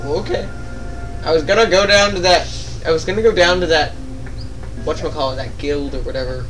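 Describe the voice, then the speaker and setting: A man's voice: on the high side, fairly quick. A man chatting casually and jokingly as he plays a game, given to exclamations and mock-dramatic lines. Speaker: gaming video player. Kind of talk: casual joking let's play chat. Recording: distant mic under game music. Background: video game music.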